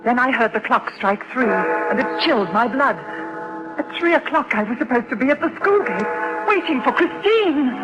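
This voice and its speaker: A woman's voice: high-pitched, lively intonation, moderate pace, muffled recording, over loud music. An actress playing a haunted, frightened mother who tells her own ghostly tale as dramatised horror narration, on a noisy old radio-drama recording. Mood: haunted, grave. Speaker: narrator and lead character.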